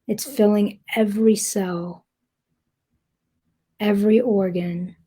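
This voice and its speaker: A woman guiding a meditation in a soft, breathy, gentle voice, speaking deliberately with long pauses. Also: medium pitch, steady tone. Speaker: meditation guide. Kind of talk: guided meditation, slow soothing narration.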